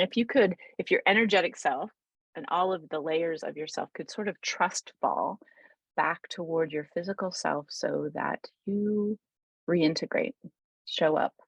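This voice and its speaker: A woman leading an unscripted guided meditation in a soothing, unhurried, informal way, pausing mid-thought as she goes. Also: fairly low pitch, vocal fry, slightly rough, no room sound, low-quality recording.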